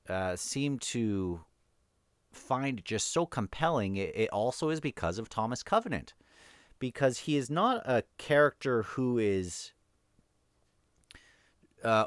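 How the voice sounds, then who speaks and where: A man's voice: on the low side, no room sound, clean studio sound. A man hosting an unscripted read-along book discussion, thinking aloud in a hesitant, rambling way.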